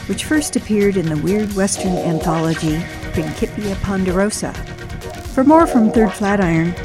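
A woman hosting a fiction podcast, reading a scripted introduction with music behind her. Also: mid-range voice. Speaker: podcast host introducing a story.

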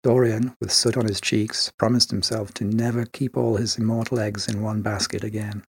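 A man with a slightly husky voice narrating a scripted short story as in an audiobook. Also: lowish voice, little pitch movement, normal speed.

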